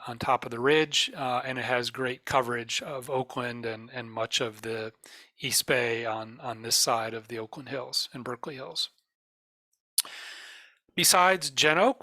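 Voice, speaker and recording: A man presents informational slides in a bright, clear-edged voice of medium pitch. He explains step by step, halting often to pause. The sound is dry, with very little room in it. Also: dry recording. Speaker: presenter for an emergency radio network.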